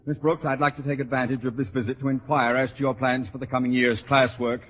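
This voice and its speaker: A man's voice: medium pitch, level tone, fast talker, slightly rough, lightly acted. A man acting a grouchy, pompous middle-aged school principal with an American accent in an old-time radio sitcom. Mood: stern, blustering. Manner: grumpy, blustering, pompous. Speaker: actor. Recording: muffled, very noisy vintage radio recording.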